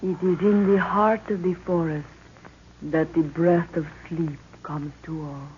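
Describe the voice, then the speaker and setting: Actress as a slow, ominous mystery woman. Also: on the low side, fairly even intonation, close to an everyday voice. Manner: slow, solemn, incantatory. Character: ominous, mysterious native woman jungle guide. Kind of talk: 1930s radio adventure acting. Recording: muffled, noisy 1930s radio recording.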